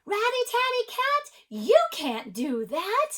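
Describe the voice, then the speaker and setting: Woman acting out high-pitched scolding picture-book homeowner. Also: big pitch swings, takes it slowly, heavily acted. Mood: exasperated shouting. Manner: loud, emphatic scolding. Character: scolding, exasperated household people in a children's picture book.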